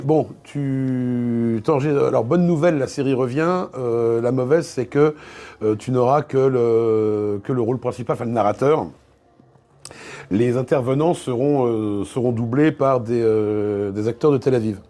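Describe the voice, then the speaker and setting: Mellow-voiced Frenchman telling work anecdotes. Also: mid-range voice, measured pace. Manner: hesitant, repeats words, acts out quoted exchanges. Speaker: interviewed voice actor and dubbing director.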